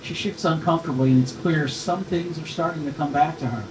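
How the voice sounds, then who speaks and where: A man's voice: a little high, a little fast. Male stage-directions narrator, scripted read, mid-range voice, echoey and noisy recording. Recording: roomy, echoing, clearly noisy recording.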